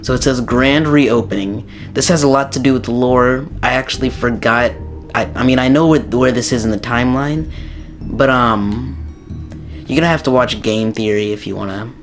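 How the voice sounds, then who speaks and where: A young man with a slightly raspy, hoarse voice from a sore throat, giving a rambling casual game tutorial with many ums and restarts. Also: mid-range voice, normal speed, faint music behind. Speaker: gaming YouTuber.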